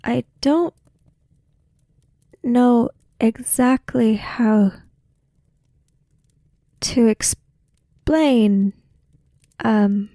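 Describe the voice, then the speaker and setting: A woman playing a hesitant, polite young woman who speaks to spirits, in halting, soft, formal speech for a tabletop roleplay. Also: fairly high pitch, no room sound, clean studio sound. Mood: hesitant, earnest.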